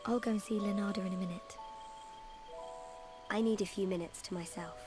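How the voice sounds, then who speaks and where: A woman with a British accent voicing a gentle, troubled young heroine in a video game. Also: mid-range voice, fairly even intonation, a little fast, over music, lightly acted. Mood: worried, sad. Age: young adult.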